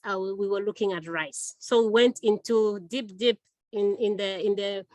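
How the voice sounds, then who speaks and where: A woman from Zambia, a researcher talking hesitantly on a video call with many pauses. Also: medium pitch, no echo. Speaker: award-winning researcher and webinar panelist. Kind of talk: casual answer on a video call. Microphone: video call microphone.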